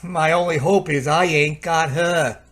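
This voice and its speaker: A man's voice: fairly high pitch, steady tone, measured pace, a bit bright. A man playing a flustered, henpecked repairman in an old-time radio drama, stammering and pleading theatrically. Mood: despairing.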